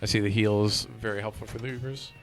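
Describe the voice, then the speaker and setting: A man's voice: fairly low pitch, fairly even intonation, fast talker, fairly bright tone. A man giving casual gameplay commentary as a streamer, with game music behind him.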